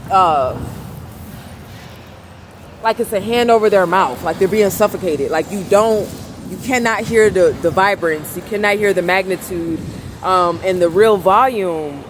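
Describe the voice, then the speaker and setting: A woman with a velvety, raspy voice talks to her viewers in a loose, rambling monologue, pushing to be heard over the street. Her pitch sits mid-range for a woman, and faint city traffic hums behind her outdoors. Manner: soft-spoken, rambling, trying to talk louder. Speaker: vlogger. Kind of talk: casual monologue.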